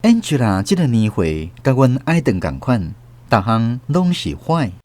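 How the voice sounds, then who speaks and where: A man's voice: medium pitch, wildly swooping pitch, a little slow, a little mellow. An actor with a Taiwanese accent playing a wistful older man who narrates his memories of a lost love in a radio drama. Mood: reflective.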